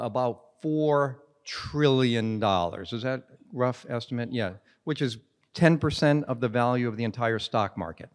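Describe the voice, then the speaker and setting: Middle-aged male host, jovial, handheld mic, long hall reverb. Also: mid-range voice. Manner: jovial, joking with the audience. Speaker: interviewer, host. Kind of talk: onstage interview and introduction. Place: large conference hall with audience.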